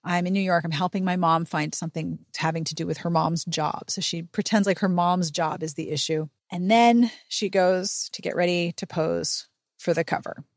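A woman's voice: lowish voice, fairly even intonation, moderate pace, dry recording, studio quality. A woman hosting a podcast, recapping a book conversationally with wry, mock-incredulous asides. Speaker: podcast host.

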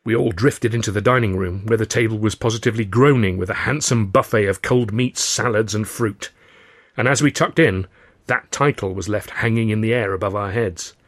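Measured male audiobook storyteller, dry close sound. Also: fairly low pitch, no room sound. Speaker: author reading own novel. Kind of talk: scripted audiobook narration, first person.

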